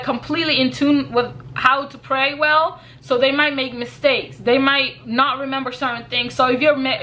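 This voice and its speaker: Bright high-voiced young woman. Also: a little hiss or hum, rough recording. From Kenya. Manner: earnest, repeats herself, laughs lightly. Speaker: YouTuber. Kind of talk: casual advice vlog.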